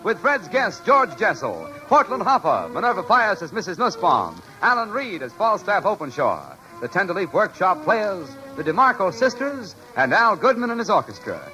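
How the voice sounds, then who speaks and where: An American man introducing a 1940s radio show in an excited, declamatory announcer style. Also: high-pitched, moderate pace, few pauses, poor audio. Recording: old 1940s radio broadcast recording. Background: music.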